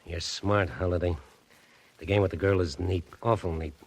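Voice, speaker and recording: An actor playing a menacing gangster who speaks in a cold, clipped, threatening way in an old radio drama. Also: male voice, low voice, fairly even intonation, moderate pace. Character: menacing male gangster watching the hero. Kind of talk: 1940s radio acting.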